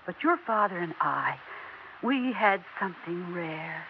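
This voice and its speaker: A woman's voice: fairly low pitch, lively intonation, a little slow, vocal fry. An American actress playing a fragile, deluded middle-aged widowed mother in a theatrical, emotionally overwrought 1960s radio drama style. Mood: wistful, reproachful. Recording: muffled, noisy 1960s radio recording.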